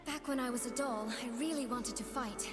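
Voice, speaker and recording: High, sweet living doll heroine acted by a woman. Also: moderate pace, big-room sound, close to an everyday voice. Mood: earnest resolve. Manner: sweet, sincere, determined. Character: earnest, hopeful living doll seamstress, young female fighter. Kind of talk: video game character voice lines. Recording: game clip with music behind.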